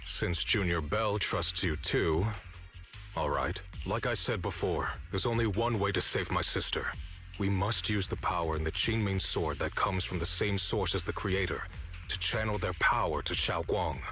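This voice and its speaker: An actor playing a grave, earnest older brother in a video game, speaking in a measured, formal way. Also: male voice, low voice, sharp tone, faint music behind. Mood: guarded, resolute. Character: grave, earnest older brother desperate to save his sister. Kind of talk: scripted video game voice acting.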